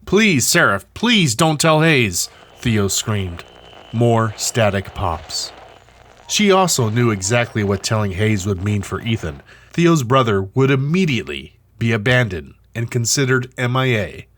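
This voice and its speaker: A man's voice: fairly low pitch, big pitch swings, a little slow, slight background noise. A man reading dramatic scripted narration as the narrator of an audio drama. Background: music.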